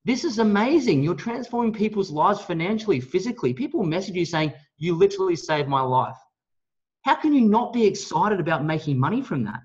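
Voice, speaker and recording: A man giving a motivational business pitch, speaking in an emphatic, blunt, salesy way with some mild swearing. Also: big pitch swings, brisk. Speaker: network marketing leader, guest speaker on a team call. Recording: dull, lo-fi call audio.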